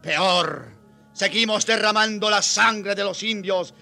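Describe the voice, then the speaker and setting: A man's voice: high-pitched, slow, gravelly, pushed and bright, faint music behind, exaggerated voice. A man playing an earnest, solemn colonial priest who defends native people, in preaching radio drama style. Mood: solemn, impassioned.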